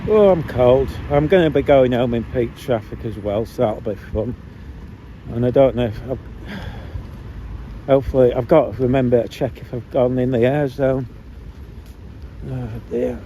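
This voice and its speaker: A man's voice: mid-range voice, moderate pace, mellow, rough recording. A man with a cold, chatting casually to camera and muttering to himself outdoors. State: has a cold. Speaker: vlogger filming outdoors. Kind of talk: rambling casual chat to camera. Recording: outdoor traffic noise.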